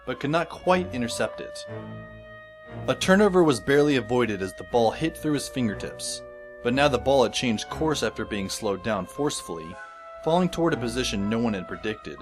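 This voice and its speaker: A wry, observant schoolboy played by a man tells his story in an audio drama. His narration is scripted, wordy and descriptive, at a middling pitch, with music clearly playing behind it. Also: animated, moderate pace, lightly acted. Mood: wry, intent. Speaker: first-person narrator.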